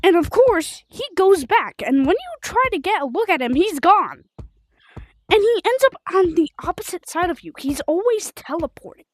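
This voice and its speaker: Female game livestreamer, high-pitched and animated, informal rambling chat, excitable storytelling, dry sound. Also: normal speed, no echo, poor audio. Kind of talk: rambling casual livestream chat while gaming.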